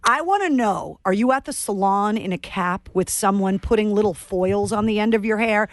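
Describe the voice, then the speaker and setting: A woman's voice: medium pitch, moderate pace, dry recording, clean studio sound. Bubbly teasing woman radio host. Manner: bubbly, teasing, laughs often. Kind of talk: casual radio interview chat. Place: radio studio.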